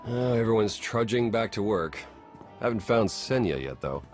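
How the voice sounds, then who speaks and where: A man's voice: on the low side, animated, normal speed, faint music behind, lightly acted. An actor playing a casual, easygoing male ally in a space saga game. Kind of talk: scripted game cutscene acting.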